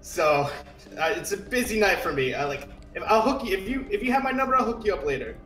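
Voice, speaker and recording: A man chatting casually as a player in a tabletop roleplay game, a little halting. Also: high-pitched, very animated, pushed and bright. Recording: roomy echo, faint music behind.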